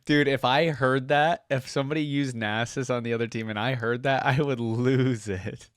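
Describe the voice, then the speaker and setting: A man's voice: mid-range voice, steady tone, normal speed, clear, resonant tone, dry recording, clean studio sound. A young man giving casual reaction commentary, musing aloud in an informal way. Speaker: reaction video host.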